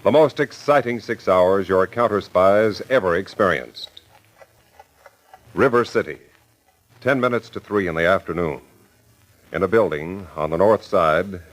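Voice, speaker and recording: Deep-voiced radio crime narrator. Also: male voice, poor audio. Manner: dramatic, measured announcing. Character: dramatic, solemn radio crime show narrator. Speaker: announcer and narrator. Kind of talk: 1940s radio scripted narration.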